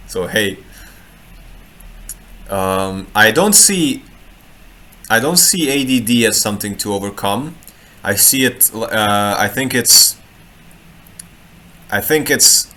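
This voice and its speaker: A man's voice: fairly low pitch, bright, forceful tone. A man from Croatia, talking in a loose, rambling way about human design, often restarting words and adding playful asides. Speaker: human design reader and street artist. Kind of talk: casual live talk. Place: home room in Sweden.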